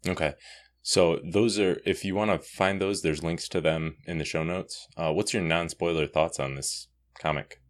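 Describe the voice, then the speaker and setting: A man's voice: low voice, fairly even intonation, normal speed. A man hosting a podcast, talking casually through a comic book review. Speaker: podcast host.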